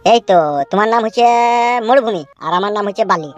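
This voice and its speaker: A woman's voice: medium pitch, quick pace, faint music behind, lightly acted. A woman voicing a desperate young nephew, plaintive and insistent, in a comedy cartoon. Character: desperate, pleading young nephew, homeless desert wanderer.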